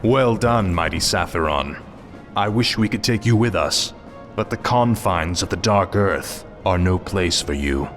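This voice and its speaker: A low, cold death knight, arrogant and ruthless. He is impatient and sardonic. A game score plays beneath him. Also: lively intonation, a little slow. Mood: approving. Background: music.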